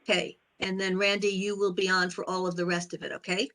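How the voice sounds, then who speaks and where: Hoarse, raspy woman chairing a meeting. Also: on the low side, level tone, a bit bright, low-quality recording. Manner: halting, with many fillers. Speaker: board chair.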